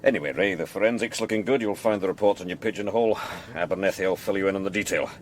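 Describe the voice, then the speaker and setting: A man acting in a radio drama as a senior police officer, with a brisk, wry, authoritative manner. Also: deep voice, a little hiss or hum, close to an everyday voice. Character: brisk, dry senior male police boss.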